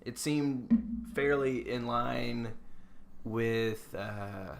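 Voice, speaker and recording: A man's voice: mid-range voice, very slow, gravelly. An American man co-hosting a podcast, giving casual feedback in an encouraging, informal manner. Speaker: podcast co-host.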